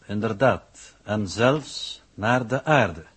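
An actor playing a coolly superior, secretive scientist in a 1960s radio play, formal, precise and pedantic. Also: male voice, on the low side, animated, slow pace, a bit bright, slightly rough, exaggerated voice. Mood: measured, knowing.

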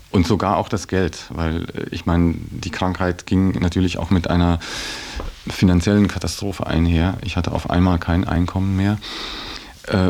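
A man's voice: low voice, creaky, darkish tone. A middle-aged man giving a reflective personal account in a hesitant way, searching for his words. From Belgian-German background. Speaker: interviewee.

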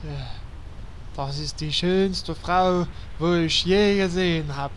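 A dreamy, lovestruck guild member, voiced by a man gushes in a high voice. He drawls his sighing praise slowly in this amateur audio drama. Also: a little hiss or hum. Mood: smitten. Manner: dreamy, gushing. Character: lovestruck naive young male guild member.

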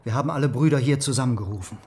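Actor as an earnest, loyal dissident, medium-pitched. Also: male voice, rapid-fire, a little mellow, a little hiss or hum, natural voice. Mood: earnest, consoling. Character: earnest, loyal male religious dissident and friend. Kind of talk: German radio drama dialogue.

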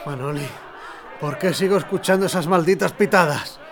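Man acting a high-pitched, domineering governor. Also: moderate pace, close to an everyday voice. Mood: irritated. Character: irritable, domineering besieged governor, contemptuous boss. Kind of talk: radio drama acting. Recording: clearly noisy, crowd din behind.

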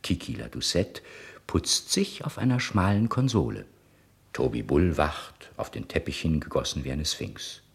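Deep, very raspy male radio narrator. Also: very animated. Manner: measured, storytelling. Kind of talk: scripted, theatrical 1960s radio narration.

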